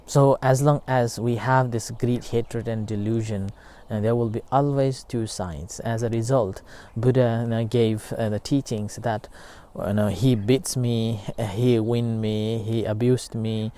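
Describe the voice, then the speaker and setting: Man, meditation teacher, soft mellow tone, hesitant, many fillers. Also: mid-range voice, fairly even intonation. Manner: halting, many fillers and restarts. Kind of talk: unscripted dharma talk.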